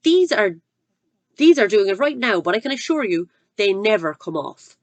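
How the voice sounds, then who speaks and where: A woman voicing a huge, cruel headmistress who barks her words with loud scorn and contempt. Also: sing-song, normal speed, dry recording. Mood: roaring. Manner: barking, booming and contemptuous.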